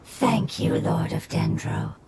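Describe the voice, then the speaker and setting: A woman playing a devoted, solemn spirit creature in an anime game, formal and reverent, with a creaky, sometimes breathy voice. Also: takes it slowly, slight background noise, close to an everyday voice. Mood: solemn gratitude. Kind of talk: anime video game voice acting. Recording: loud game music behind voice.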